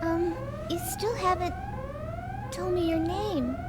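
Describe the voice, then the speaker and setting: Timid childlike android girl, very high pitch, swooping intonation, soft and hesitant, game dialogue. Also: very animated, slow pace, heavily acted. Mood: hesitant, curious. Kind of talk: English video game dub acting. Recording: roomy echo, clear background noise.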